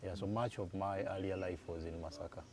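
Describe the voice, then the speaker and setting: A Ugandan man in his forties with a very low voice answers questions at an easy pace and pauses to recall dates. The recording carries clear background noise from the room around him. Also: steady tone, a little mellow. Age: 40s. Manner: thoughtful, unhurried, recalls dates aloud. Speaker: guest. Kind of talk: relaxed interview answers. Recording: noisy room ambience.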